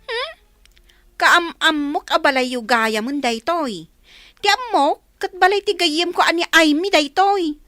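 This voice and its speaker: A woman's voice: extremely high pitch, expressive, unhurried, sharp tone, no room sound, studio quality, soft background music, full character voice. A woman acting a feisty, defiant employee in an Ilocano radio drama, speaking with an Ilocano accent. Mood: scornful.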